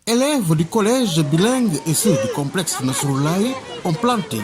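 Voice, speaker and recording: A man narrating a news report as voice-over in a measured, lively delivery. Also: high-pitched, a crowd in the background.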